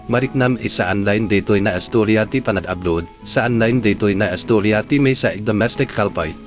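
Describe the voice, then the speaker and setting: A man with an Ilocano Filipino accent hosting and narrating a sentimental radio drama in an earnest, emphatic way. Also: on the low side.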